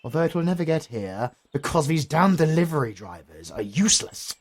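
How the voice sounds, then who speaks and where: An actor with a British accent playing a pompous, melodramatic retired dark lord in a comedy audio roleplay, husky and easily outraged. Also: male voice, fairly high pitch, very animated, brisk. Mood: dry, grumbling. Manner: grandiose, melodramatic, sardonic.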